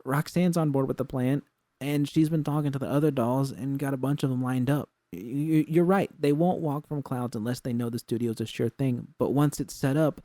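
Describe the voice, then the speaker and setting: A man's voice: medium pitch, normal speed, mellow, no room sound, studio quality, voice pushed up, close to an everyday voice. A man voicing an earnest, defensive and stubbornly hopeful young woman in an audiobook story, with an insistent, slangy delivery.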